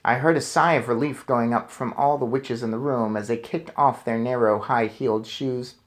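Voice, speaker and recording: A man reading a children's book aloud as a storyteller, with expressive, deliberate phrasing. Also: mid-range voice. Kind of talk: expressive children's book narration with casual asides.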